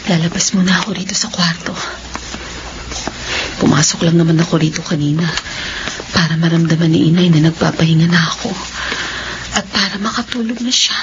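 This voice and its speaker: A young Filipino woman acting in a horror radio drama as an anguished, cursed daughter tormented by hunger, speaking in a slow, halting, dramatic way. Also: on the low side, close to an everyday voice. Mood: troubled, secretive. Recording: dull lo-fi radio audio, clear background noise.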